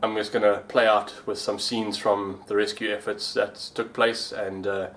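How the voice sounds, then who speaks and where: A man with a fairly low voice talks in a casual vlog style. His delivery is informal, with pauses as he puts his thoughts together. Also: level tone, fairly bright tone, a little hiss or hum. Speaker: vlogger and amateur pilot.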